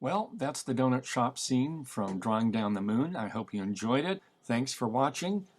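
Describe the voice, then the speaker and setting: A man reads fiction aloud as its author, in a mid-pitched voice. He narrates the scene in a storytelling style, setting out action between stretches of dialogue. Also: animated, moderate pace, a little mellow. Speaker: author reading from own novel. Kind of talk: dramatic audiobook-style reading.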